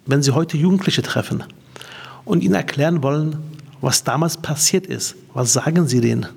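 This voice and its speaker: A man's voice: a little high, normal speed, gravelly, a little mellow, slightly rough. A man in his forties from Berlin, presenting and asking prepared interview questions in German. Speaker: interviewer, politician. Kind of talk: scripted introduction and interview questions.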